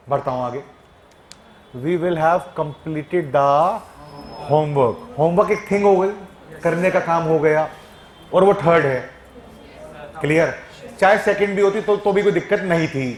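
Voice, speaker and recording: A man from Punjab teaching a live grammar class, teasing and quizzing his students. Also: high voice. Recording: echoey classroom.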